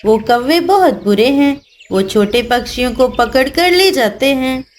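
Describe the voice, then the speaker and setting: A woman's voice: high-pitched, animated, measured pace, a little hiss or hum. A woman voicing a caring, protective mother bird in a children's cartoon, motherly and firm. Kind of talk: Hindi children's cartoon voice acting.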